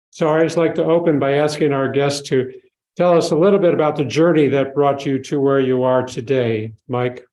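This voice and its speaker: A man hosting a live podcast before an audience, reading a formal introduction in a measured way, then asking questions. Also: medium pitch, darkish tone, roomy sound, poor audio. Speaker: podcast host. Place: learning center hall with a live audience.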